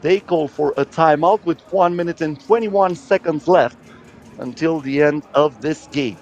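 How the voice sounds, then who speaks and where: A man's voice: on the high side, a little slow, darkish tone, lo-fi sound. A man giving live play-by-play commentary on a basketball game as a sports commentator.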